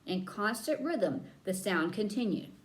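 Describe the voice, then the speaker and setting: A woman reading a children's book aloud as a storyteller, with dramatic emphasis and drawn-out key words. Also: mid-range voice, sing-song. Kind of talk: expressive read-aloud narration for children.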